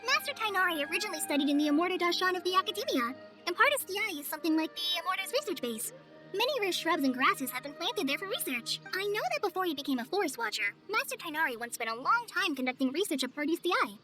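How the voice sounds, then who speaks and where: A woman's voice: very high-pitched, big pitch swings, quick pace. A woman voicing a polite, earnest young forest ranger girl in a game, modest and reassuring. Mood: informative. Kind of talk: anime-style game dialogue. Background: background music.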